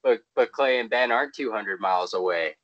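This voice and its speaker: A man's voice: on the low side, a little fast, a bit bright, no room sound, lo-fi sound. A man hosting a podcast in casual chat, rambling and wry. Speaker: podcast host.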